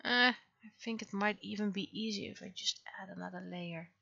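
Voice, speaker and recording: A woman's voice: mid-range voice, steady tone, moderate pace, creaky, bright, forceful tone, muffled recording. A woman casually thinking aloud in short fragments as she works on art during a livestream. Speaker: livestreamer, digital artist. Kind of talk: casual livestream commentary while drawing.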